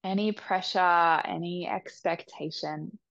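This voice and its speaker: A woman leading a guided meditation with soft, soothing instructions. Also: fairly low pitch, no echo, dull audio. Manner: soft, slow, soothing instructions with long pauses.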